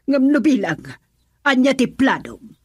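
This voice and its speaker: A defensive mother in a radio drama, argumentative and exclamatory. Her voice is fairly high and husky, swinging widely in pitch as she quarrels. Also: very animated, a little slow, no echo, studio quality, heavily acted. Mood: reasoning. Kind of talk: Ilocano radio drama acting.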